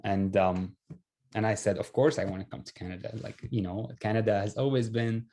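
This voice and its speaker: A man's voice: fairly low pitch, steady tone, a little fast, warm tone. A young man from Syria, telling his personal story in a casual, conversational, self-effacing way. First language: Arabic.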